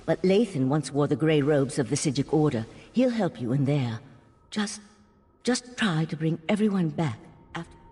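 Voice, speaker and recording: An actress voicing an earnest, worried mage in a video game, husky and pleading. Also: female voice, sits low, animated, moderate pace, mellow. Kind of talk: video game character acting. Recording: hall reverb with music.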